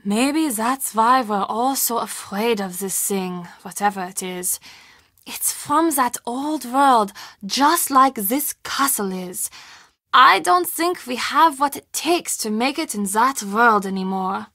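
An actress playing a friendly, earnest castle hostess in a video game, confiding and expressive in manner. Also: female voice, on the high side, slow, no echo, studio quality, heavily acted. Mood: hushed, fearful. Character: friendly young German castle hostess, earnest, spooked, chatty. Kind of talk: video game dialogue acting.

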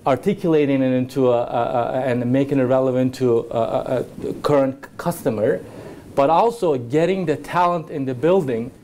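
A man's voice: mid-range voice, moderate pace, mellow, a little hiss or hum. A man speaking as a hotel industry panelist, talking with frequent stammered restarts. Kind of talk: conference panel discussion.

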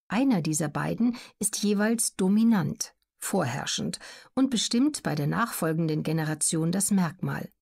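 A woman reading scripted documentary narration for an audiobook in a measured, explaining way. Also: mid-range voice, creaky, darkish tone.

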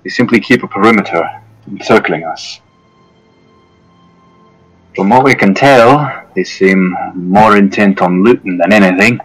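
Man voicing a courteous town governor, formal, hesitant, deepish voice. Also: unhurried, pauses often, faint music behind, poor audio. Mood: grave. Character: fussy, polite male town governor.

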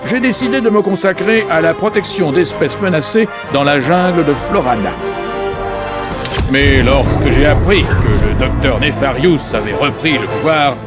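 A man's voice: fairly high pitch, expressive, moderate pace, close to an everyday voice. An actor playing a vain, boastful male superhero who speaks pompously. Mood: self-important. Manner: pompous, self-important. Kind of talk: dubbed video game cutscene. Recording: game audio over loud music.

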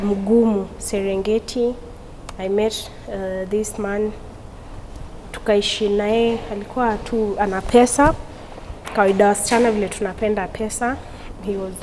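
A young woman, giving a halting personal testimony as an interview guest in a studio, talking through a lapel mic. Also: medium pitch, darkish tone, long reverb tail, a little hiss or hum, low-quality recording. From Tanzania. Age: young adult. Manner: halting, with frequent restarts. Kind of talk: personal testimony, mixing Swahili and English. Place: indoor studio.

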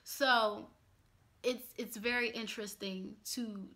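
A woman's voice: fairly high pitch, takes it slowly. A woman in her twenties from Pennsylvania, chatting candidly to camera in a casual vlog from a small home office. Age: 20s. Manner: chatty, candid, expressive hand gestures. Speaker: YouTuber.

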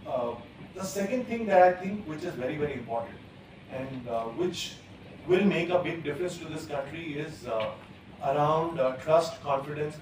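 Measured man on an echoey panel. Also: medium pitch, a little hiss or hum. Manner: measured, many fillers and pauses. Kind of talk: conference panel talk. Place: large conference hall, at a panel table. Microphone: table gooseneck mic. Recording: echoey hall, distant from the mic.

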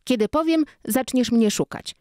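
A woman's voice: high-pitched, normal speed, mellow, dry recording, clean studio sound, pitched above the performer's own voice, natural voice. A woman voicing an earnest, bossy, imaginative little toddler boy in a children's storybook.

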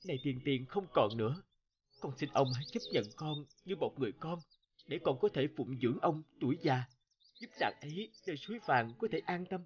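An actor playing a humble, devoted young scholar in an animated drama, earnest and deferential, full of feeling. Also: male voice, a little high, fairly quick, lo-fi sound, lightly acted. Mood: pleading, respectful. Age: young adult.

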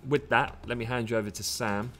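A man's voice: medium pitch, steady tone, brisk, fairly bright tone. A British man in his thirties presenting a live market briefing, giving advice in a conversational, explanatory way. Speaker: head of market analysis, presenter. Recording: background noise.

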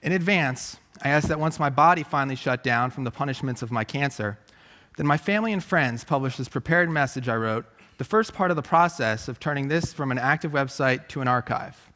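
A man delivers a reflective, measured talk from a large theatre stage. His voice sits at a middle pitch and is picked up by a headset mic. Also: bright, forceful tone. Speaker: conference speaker.

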